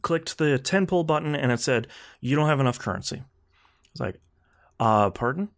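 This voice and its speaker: A man co-hosting a casual gaming podcast, talking in a blunt, slangy way with animated complaints. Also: medium pitch, normal speed. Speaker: podcast co-host.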